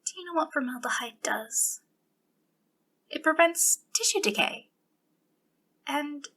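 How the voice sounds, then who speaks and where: A woman playing an obsessive, sweetly menacing female captor in an ASMR roleplay, speaking intimately in a breathy voice that slips into whispers. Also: high-pitched, pushed and bright, exaggerated voice. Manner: breathy delivery slipping into whispers, lingering pauses.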